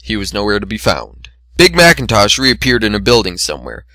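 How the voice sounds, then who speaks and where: A man's voice: fairly low pitch, wildly swooping pitch, brisk, pushed and bright, no echo. A man reading a story aloud as scripted narration, in a dramatic style. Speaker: amateur reader of fan fiction.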